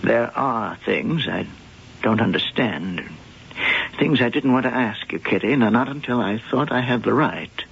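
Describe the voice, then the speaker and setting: A man acting in a radio drama as an earnest, bewildered professor, halting and hesitant in his speech. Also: medium pitch, lively intonation, creaky, breathy edge, full character voice. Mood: hesitant, tender. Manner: hesitant, halting, soft-hearted. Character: earnest, bewildered professor in love with a strange woman. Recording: dull lo-fi 1980 radio broadcast.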